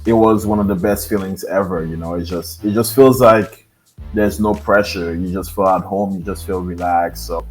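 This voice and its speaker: A deep-voiced Haitian man in his thirties speaks as a podcast guest. He talks softly and haltingly, with plenty of fillers, and faint background music sits under his voice. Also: steady tone, low-quality recording. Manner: soft-spoken, hesitant with many fillers. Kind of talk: interview, personal storytelling.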